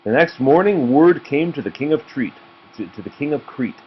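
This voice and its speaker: A man's voice: on the high side, lively intonation, moderate pace. A man reading a children's storybook aloud as a parent to a child, in a narrating style. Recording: muffled home recording with clear noise.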